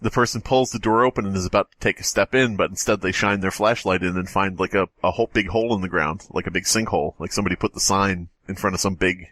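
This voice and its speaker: A man with a lowish voice, recorded close and dry, chats casually as a podcast co-host. He is jokey and profane and wanders into tangents. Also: fast, few pauses, fairly bright tone, dry recording. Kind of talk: casual comedic podcast banter.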